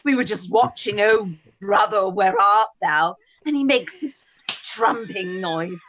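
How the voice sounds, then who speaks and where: A woman's voice: mid-range voice, slow pace, fairly bright tone, a little hiss or hum. A woman playing an indignant, gossipy married village lady who overshares in a comedy radio play.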